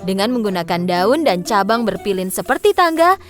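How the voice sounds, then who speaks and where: A woman's voice: medium pitch, sing-song, normal speed, soft background music. A woman narrating a scripted fairy tale for children.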